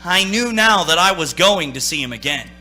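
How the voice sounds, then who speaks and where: A man's voice: high voice, animated, moderate pace, sharp tone, full character voice. An actor playing a haunted, anxious young man in a radio play, dramatic and nervously stammering. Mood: haunted, brooding. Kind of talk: radio play acting, narrating and in scenes. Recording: stage radio play with music.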